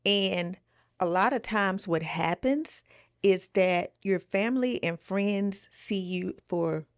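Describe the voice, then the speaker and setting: A woman's voice: mid-range voice, takes it slowly, dry recording, studio quality. A woman co-hosting a podcast, giving advice in a casual, emphatic way, repeating phrases for stress. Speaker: podcast co-host.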